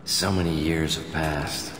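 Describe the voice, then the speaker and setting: A man's voice: a bass voice, fairly even intonation, measured pace. An actor playing a haunted, obsessed man in a video game, a survivor brooding and fixated on his past. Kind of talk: dramatic video game cutscene acting. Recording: echoey hall reverb, background noise.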